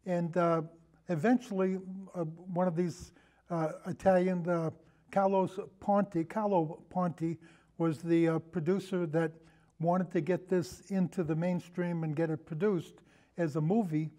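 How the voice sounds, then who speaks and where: A man in a casual film discussion, telling anecdotes in a halting, rambling way and often repeating his phrases. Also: sits high, flat delivery, dark tone. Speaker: podcast guest, film discussion.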